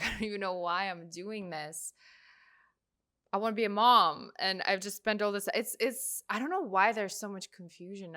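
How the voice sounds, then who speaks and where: Bright, slightly low woman host, Russian first language, loose musing reaction chat. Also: clear, resonant tone. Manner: chatty, musing, trailing off with fillers. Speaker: podcast host. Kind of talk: casual reaction commentary.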